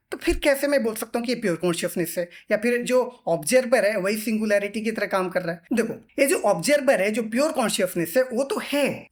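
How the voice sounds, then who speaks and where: A man with a high-pitched voice delivers an informal lecture as a teacher. He explains step by step in a repetitive way, setting up questions and then answering them himself.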